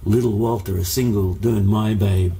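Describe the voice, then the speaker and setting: A man's voice: fairly low pitch, fairly even intonation, moderate pace, dark tone. A man, born in Hungary, telling stories as a musician guest in a casual interview, rambling with many fillers and restarts.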